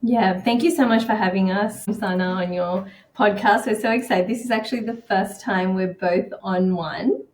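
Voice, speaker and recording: A woman's voice: mid-range voice, moderate pace, few pauses, a little hiss or hum. A woman guest on a podcast, casually telling personal stories in a conversational way. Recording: roomy, echoing sound.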